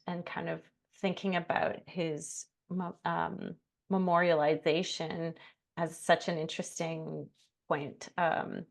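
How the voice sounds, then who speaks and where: Woman, low pitch, gravelly, hesitant, many fillers, reflective, video call. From Canada. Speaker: curator and artist. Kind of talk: reflective conversation, self-introduction.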